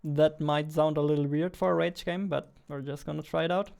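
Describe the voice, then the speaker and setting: A man's voice: on the high side, fairly even intonation, fairly quick, gravelly, darkish tone. A man in his twenties chatting casually on a livestream as he works, muttering to himself and repeating phrases. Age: 20s. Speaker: game developer livestreamer. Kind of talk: casual livestream chat while working. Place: indoor room at a computer desk. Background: keyboard typing and mouse clicks.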